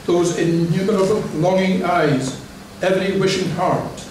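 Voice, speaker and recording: A man reading his poetry to an audience, in a measured, declamatory way with brief spoken asides. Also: on the high side, darkish tone, slight background noise. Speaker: poet. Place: large church hall. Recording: echoey church hall from far off.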